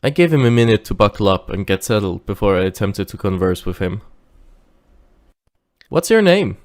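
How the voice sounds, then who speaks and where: A man playing a dutiful, wary driver who recounts his tale in measured audio drama narration. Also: lowish voice, very animated. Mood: friendly, practical.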